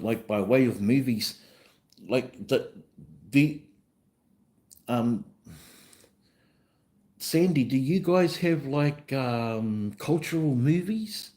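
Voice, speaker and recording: A man's voice: medium pitch, a little mellow. A man with a New Zealand accent hosting a casual livestream chat in an easygoing, rambling way, often repeating himself. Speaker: livestream host and vlogger.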